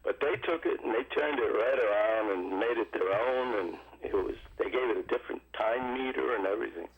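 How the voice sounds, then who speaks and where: A man's voice: on the low side, a little fast, creaky, slightly rough. A male radio caller with a cold, rambling casually with many fillers. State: has a cold, froggy. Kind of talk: casual call-in chat. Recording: muffled phone line.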